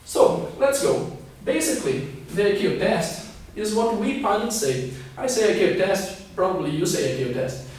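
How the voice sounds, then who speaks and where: A man's voice: high voice, darkish tone, a little hiss or hum. A man in his twenties from Scotland and Brazil giving an instructional video lesson, explaining step by step and often checking in with okay. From born in Scotland, raised partly in Brazil. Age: 20s. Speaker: English teacher and pilot giving a lesson. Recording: very echoey room, distant microphone.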